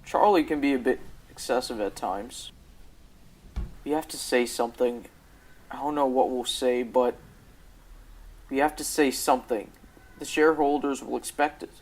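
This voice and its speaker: A man's voice: medium pitch, normal speed, long pauses, natural voice. A teenage boy playing a troubled, pleading company boss and devoted husband in a radio drama. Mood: weary, guarded. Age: teens, high school student.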